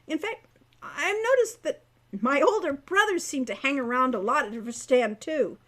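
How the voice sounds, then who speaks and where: A woman's voice: high-pitched, normal speed, a bit bright, pitched above the performer's own voice. A woman voicing a bold teenage adventurer girl in a storybook, arguing in a casual, needling way. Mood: argumentative.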